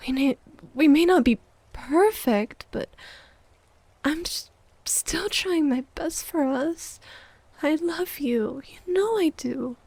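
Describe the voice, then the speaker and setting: A woman's voice: sits high, lively intonation, takes it slowly, darkish tone, dry recording, exaggerated voice. A woman playing a jealous, needy, wounded girlfriend in an ASMR roleplay audio drama, sighing and often dropping toward a whisper. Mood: weary, reproachful, then tender, pleading.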